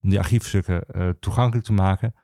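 Low, gravelly man, halting delivery. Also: steady tone, warm tone, no room sound, clean studio sound. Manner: halting, hesitant phrasing with frequent pauses. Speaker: regional archivist, interview guest. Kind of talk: informal explanatory podcast interview.

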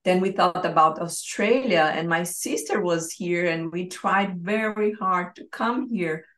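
A woman with a Brazilian Portuguese accent and a slightly low voice. She speaks as a podcast guest, telling her own story in a conversational way. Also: a little slow, fairly bright tone. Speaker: podcast guest, expat family coach. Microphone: remote call.

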